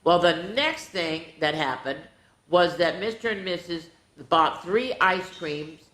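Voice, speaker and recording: A woman hosting a children's story time, reading a storybook aloud in an animated, playful way with dramatic asides to the young listeners. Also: fairly low pitch, a little slow. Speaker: children's storyteller, show host. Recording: roomy, echoing indoor sound.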